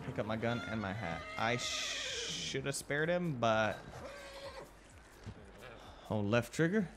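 A man's voice: mid-range voice, lively intonation, slow, heavy background noise. A young man giving casual, joking gameplay commentary in a playful, goofy manner. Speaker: gaming YouTuber.

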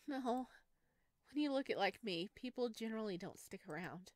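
A woman voicing a gentle, self-deprecating young male forest monster from a visual novel, soft-spoken and playfully teasing. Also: a little high, animated, moderate pace, no echo, lightly acted. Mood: gentle, warm.

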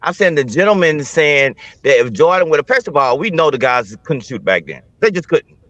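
A man's voice: a little high, very animated, brisk, a bit bright, dry recording, highs cut off. A man debating heatedly on a video call from his phone, insisting on his point and talking over others. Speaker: call-in panelist.

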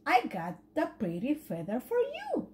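A woman voicing an excitable little boy duckling in a storybook reading. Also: sits high, unhurried, heavily acted. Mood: excited.

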